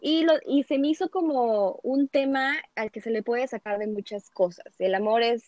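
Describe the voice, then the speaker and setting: Chatty woman with a high, dull-sounding voice. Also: normal speed, a little mellow. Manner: chatty, with many fillers and restarts. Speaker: podcast host. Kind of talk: casual podcast chat.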